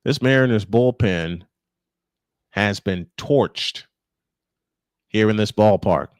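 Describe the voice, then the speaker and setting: A man's voice: on the low side, slow, smooth, no room sound. Guy doing live baseball play by play, chatty with his own takes, really dry close sound. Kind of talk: live sports commentary.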